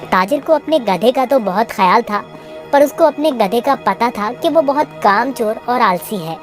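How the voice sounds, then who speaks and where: A woman's voice: on the high side, lively intonation, normal speed. Woman narrating children's story over music.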